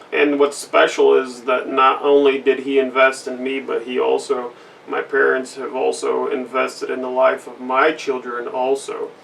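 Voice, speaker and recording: Gravelly-voiced guy from brazil telling his story indoors, earnest and halting, mic a little far off. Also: mid-range voice, fairly bright tone, a little hiss or hum. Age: adult with a school-age daughter. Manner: earnest, halting, many fillers. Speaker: missionary kid giving a testimony. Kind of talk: recorded personal testimony. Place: indoor room with a flag behind.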